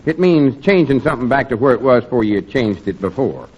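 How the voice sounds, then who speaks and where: An actor playing a folksy, know-it-all small-town storekeeper with a rural Southern accent in a 1940s radio comedy, earnestly explaining things and mangling big words. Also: male voice, mid-range voice, big pitch swings, a little fast, slightly rough. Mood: mildly exasperated. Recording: dull noisy 1940s radio recording, live audience.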